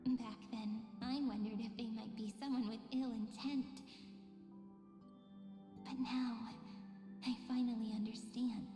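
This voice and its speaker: Echoey hall, music behind, actress playing gentle young game heroine, slow tender delivery, soft breathy voice. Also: female voice, on the high side, flat delivery, natural voice. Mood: wistful, solemn. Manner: slow, tender, measured storytelling. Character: gentle wistful young female guide looking back on sacrifice. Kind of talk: scripted game story voice acting. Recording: echoey long reverb, loud music behind.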